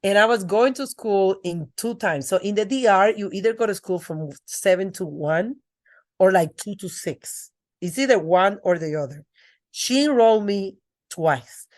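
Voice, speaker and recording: A woman's voice: medium pitch, lively intonation, normal speed, darkish tone. A middle-aged woman from the Republic, a podcast guest telling her life story earnestly, with restarts. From Dominican Republic. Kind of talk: podcast interview.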